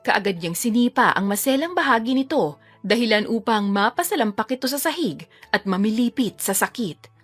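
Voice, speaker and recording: Female audio drama narrator, fairly high voice, scripted storytelling over a music bed. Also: lively intonation, moderate pace.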